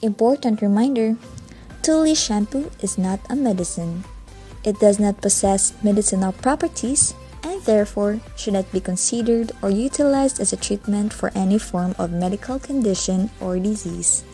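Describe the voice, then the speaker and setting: A woman's voice: mid-range voice, lively intonation, normal speed, dark tone, faint music behind. A woman reading a scripted commercial voice-over as the announcer. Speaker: advert announcer.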